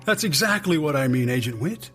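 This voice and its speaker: An actor playing a weary, sardonic intelligence insider in an audio drama, explaining hesitantly and conversationally. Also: male voice, fairly high pitch, expressive, fairly quick, a bit bright, faint music behind. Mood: wry, resigned. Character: weary, wry male intelligence insider briefing an agent. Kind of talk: naturalistic audio drama acting.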